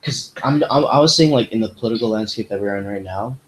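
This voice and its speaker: Animated young man with low voice. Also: fast, a little hiss or hum, poor audio. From United States. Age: young adult. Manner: animated, rambling, many fillers. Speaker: podcast host. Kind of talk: casual chat.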